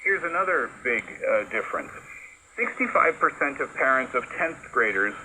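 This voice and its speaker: A man's voice: medium pitch, sing-song, moderate pace, pushed and bright. A man hosting a conversational radio discussion, explaining things and checking in with the listener as he goes. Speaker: radio talk show host. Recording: noisy shortwave radio broadcast. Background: shortwave static and hiss.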